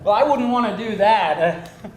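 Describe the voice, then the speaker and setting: A woman's voice: mid-range voice, animated, moderate pace, darkish tone, natural voice. A woman playing a hapless, nervous vampire hunter who stammers and hesitates sheepishly, in a live screenplay table read. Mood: flustered. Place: indoor live reading before an audience. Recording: roomy live venue.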